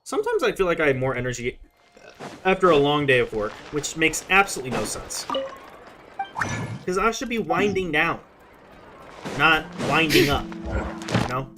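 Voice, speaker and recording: Lively, slangy young game streamer. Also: mid-range voice, moderate pace, pauses often. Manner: slangy, profane, rambling to self. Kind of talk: casual gameplay commentary. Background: game music.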